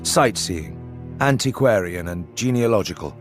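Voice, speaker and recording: A man reading as a formal, grave young antiquarian narrator in a horror audiobook, in a measured literary manner. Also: sits low, expressive. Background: music.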